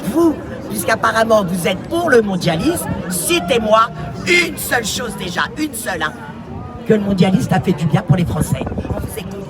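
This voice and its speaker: A woman's voice: medium pitch, sing-song, moderate pace. A woman at an outdoor protest, insistently demanding an answer and lecturing. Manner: insistent, hectoring, repeats demands. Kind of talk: heated street argument. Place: city street, outdoors. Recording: distant voice, loud crowd and music.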